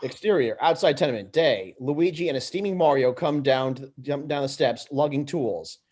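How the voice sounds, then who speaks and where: A man's voice: mid-range voice, normal speed, a little mellow. A man reading screenplay stage directions aloud as narrator. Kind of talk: read-aloud script narration.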